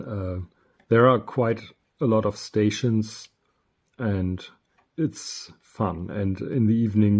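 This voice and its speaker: A man giving a casual product review in a hesitant, halting, unscripted way. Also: lowish voice, creaky, a little mellow. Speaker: radio reviewer.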